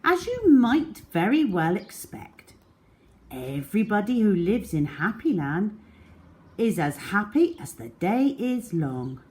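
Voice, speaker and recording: Slow, animated woman reading a storybook. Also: mid-range voice. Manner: slow, deliberate, expressive storytelling with drawn-out words. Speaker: children's storyteller. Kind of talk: children's storybook read-aloud.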